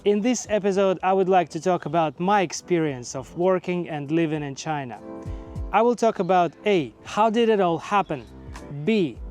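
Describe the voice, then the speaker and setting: A man in his forties from Russia, speaking English, telling his own story in a relaxed, measured way with fillers. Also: high-pitched, soft background music. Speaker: vlogger and teacher. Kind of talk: casual personal storytelling.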